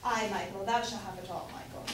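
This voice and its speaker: A woman's voice: mid-range voice, fairly even intonation, brisk, close to an everyday voice. A woman playing a doting, gossipy London mother in an amateur staged reading of an old comedy, speaking archaic English. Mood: doting, boastful. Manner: archaic English, fond addressing of her boy. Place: indoor room, staged reading. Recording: very echoey room, distant mic, faint noise.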